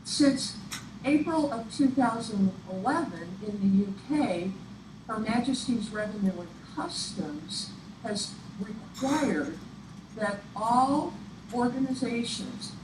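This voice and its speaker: A woman's voice: on the high side, animated, slow. Echoey, distant woman giving an instructional presentation. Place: echoey room, picked up from afar. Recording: echoey, distant room recording with clear noise. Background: clear room noise.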